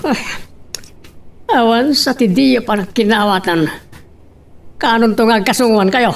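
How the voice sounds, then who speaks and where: A woman's voice: on the high side, a little slow, recorded from a distance. A woman playing a concerned, patient parent in an audio drama, with an Ilocano accent. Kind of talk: amateur audio drama acting.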